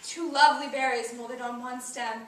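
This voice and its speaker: A woman's voice: very high voice, expressive, a little slow, pushed and bright, full character voice. A woman performing a classical stage monologue as an indignant, wounded young heroine, declaiming formal verse emphatically. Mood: tender, wistful. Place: indoor performance space, recorded from afar. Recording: echoey room, distant mic.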